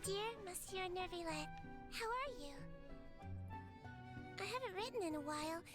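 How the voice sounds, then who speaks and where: A woman voicing a small, sweet, girlish creature nurse in a game trailer, gentle, earnest and cheerful as she narrates a letter. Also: very high voice, animated, normal speed. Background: music.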